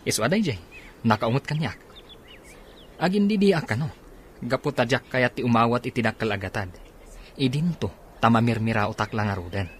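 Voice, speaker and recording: A polite but evasive friend played by a man talks with a Filipino accent. His voice sits at a middle pitch, acted for radio drama. The recording carries background noise. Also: expressive, normal speed, long pauses, natural voice. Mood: explaining. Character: guarded, loyal male friend, politely evasive employee.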